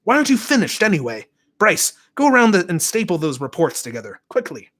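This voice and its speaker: A man voicing an excitable, bossy middle-aged male teacher who barks orders at his class. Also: brisk, full character voice. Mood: impatient. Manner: shouting, bossy, high-pitched.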